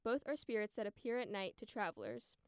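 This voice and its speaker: A woman's voice: on the high side, animated, fairly quick, clean tone, dry recording, clean studio sound. A young American woman around twenty chatting casually as a podcast guest, informal and peppered with "like" and "you know". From United States. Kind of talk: casual conversational chat and storytelling.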